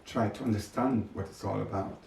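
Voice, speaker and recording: A man's voice: fairly low pitch, fairly even intonation, a little fast, gravelly, darkish tone. An older man being interviewed, talking reflectively about his experiences in his own words. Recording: echoey, distant mic, faint noise.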